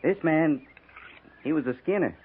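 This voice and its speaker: An actor playing a folksy frontier soldier who goads coolly and slyly in a 1950s western radio drama. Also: male voice, on the high side, lively intonation, normal speed, a little hiss or hum, close to an everyday voice. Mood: pointed, steady. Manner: folksy, slyly goading understatement. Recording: thin, tinny 1950s radio recording.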